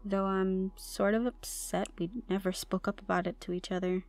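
A young woman playing a troubled tomboy goth college girl, shy and softly teasing, in an intimate ASMR roleplay with hesitant delivery and whispered asides. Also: medium pitch, little pitch movement, normal speed, soft background music, close to an everyday voice. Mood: grateful, teasing.

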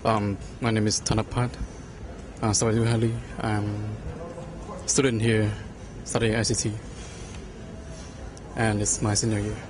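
A man's voice: on the low side, level tone, unhurried, long pauses, background noise. A young man, a student, introducing himself briefly.

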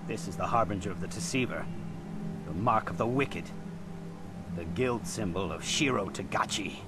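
Game role, male actor: grave old master, low-pitched, solemn and measured, over clearly noisy ambience. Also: lightly acted. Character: grave, wise old martial-arts master. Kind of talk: video game cutscene acting.

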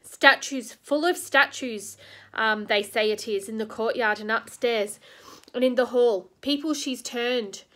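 Serious old-fashioned he-beaver from a storybook, solemn and a bit louder, as a lady reads him. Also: on the high side, measured pace. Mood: gloomy. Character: gruff gloomy male beaver, kindly.

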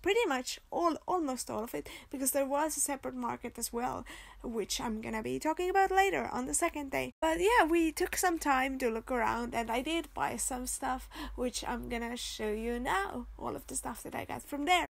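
A young Finnish-accented woman narrates her vlog in a high voice with a gravelly edge at the ends of her phrases. She talks in an easygoing, upbeat way and pads her sentences with little fillers as she goes. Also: animated, normal speed, no echo. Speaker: vlogger. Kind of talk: casual voice-over vlog narration.

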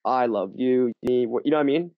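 A man's voice: medium pitch, fast, no room sound, lo-fi sound. A young man from Canada, a guest on a video-call interview, talking casually with lots of fillers and restarts. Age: young adult. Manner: chatty, many fillers and restarts. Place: small room indoors. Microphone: headset on a video call.

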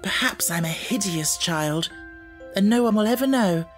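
An earnest, brave little schoolgirl from a storybook, voiced by a male narrator. The voice is lifted well up in pitch and speaks in a thoughtful, imaginative way. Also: animated, moderate pace, fairly bright tone, soft background music. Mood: earnest, musing. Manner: earnest, reflective, storybook speech.

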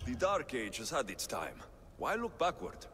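This is a stoic mercenary warrior in a video game. He is curt and wry, with a mid-pitched voice that moves widely in tone. A long reverb surrounds him. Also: wildly swooping pitch, normal speed, pushed and bright, slight background noise. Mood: sceptical. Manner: curt, sceptical, dry. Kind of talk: video game cutscene acting.

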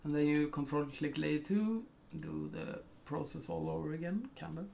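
A man's voice: a little high, moderate pace, gravelly, warm tone, a little hiss or hum. A middle-aged man from Sweden with a Swedish accent, talking through a photo editing tutorial at his computer and thinking aloud with many ums and uhs. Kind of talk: casual screen-recorded tutorial.

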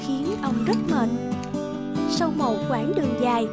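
Female storybook narrator: high voice, scripted delivery, loud guitar bed. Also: measured pace. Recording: loud guitar music underneath.

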